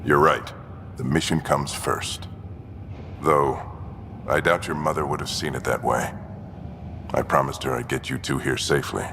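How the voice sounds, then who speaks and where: A man playing a stoic older father who leads an expedition team in a video game, speaking in a measured, solemn, commanding manner. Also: rumbling low, fairly even intonation, background noise. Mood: grave, reassuring. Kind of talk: cinematic video game acting.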